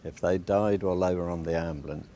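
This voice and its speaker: A man in his eighties, a war veteran, plainly and haltingly recollecting his service in an interview. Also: low voice, steady tone. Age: 80s. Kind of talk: personal recollection. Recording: loud background noise, clicks.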